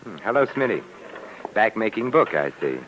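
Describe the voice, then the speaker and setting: Urbane sleuth, lowish pitch, swooping intonation, witty, mock-polite, radio play, noisy old recording. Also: male voice, sing-song, moderate pace, a bit bright. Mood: cool, teasing. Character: suave, witty, unflappable gentleman sleuth. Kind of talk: old-time radio drama acting.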